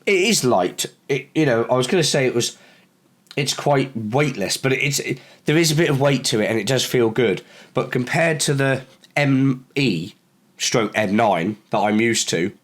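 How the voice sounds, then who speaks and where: A man with a sore throat who sounds a little croaky, chatting casually in a review vlog with lots of fillers and restarts. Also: mid-range voice. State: hoarse from a cold. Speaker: camera reviewer.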